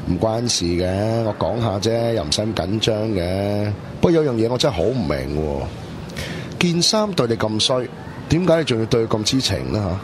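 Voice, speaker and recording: A man's voice: lowish voice, animated, normal speed, noisy background, close to an everyday voice. A man playing an easygoing young friend in casual Cantonese radio drama dialogue. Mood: gently advising.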